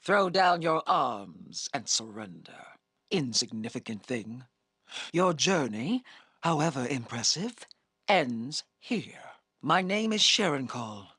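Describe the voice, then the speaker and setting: A proud, menacing half-dragon warlord from a fantasy game. Her voice is husky and forceful, swinging widely in pitch as she delivers formal, scornful decrees. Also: sing-song, slow, no room sound, clean studio sound, exaggerated voice. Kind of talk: scripted fantasy video game acting.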